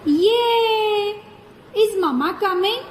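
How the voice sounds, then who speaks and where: A woman's voice: extremely high pitch, expressive, very slow, heavily acted. A woman voicing an eager, curious young child in a children's puppet show. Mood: excited. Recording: echoey hall, background noise.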